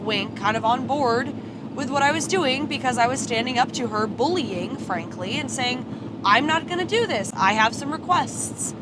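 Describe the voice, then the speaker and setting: A young American woman arguing defiantly and insistently on a livestream from inside a car. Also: fairly high pitch. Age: young adult. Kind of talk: heated argument on a live road-trip stream. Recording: clear car and road noise. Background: engine and road noise.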